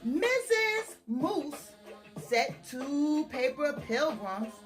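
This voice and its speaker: A woman's voice: sits high, big pitch swings, drawn-out words, faint music behind. A woman hosting a children's storytime in a theatrical, emphatic, singsong read-aloud style, drawing out and repeating phrases.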